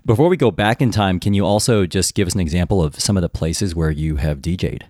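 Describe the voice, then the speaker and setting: Deep-voiced male interview host. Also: quick pace, darkish tone, no echo, clean studio sound. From United States. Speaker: podcast host. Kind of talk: interview hosting.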